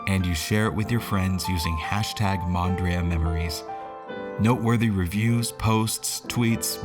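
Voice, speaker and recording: A man hosting a podcast, giving a casual, scripted announcement to his listeners. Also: lowish voice. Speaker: podcast host. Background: music.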